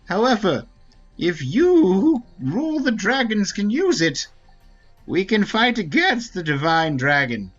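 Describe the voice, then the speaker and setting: A man's voice: sits high, huge pitch swings, a bit bright, dull audio, heavily acted. A man voicing an ancient, solemn Wingly elder, wise and formal, in a dramatic read-aloud of a fantasy video game. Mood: grave. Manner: slow, grave, formal delivery. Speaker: game streamer. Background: faint game music.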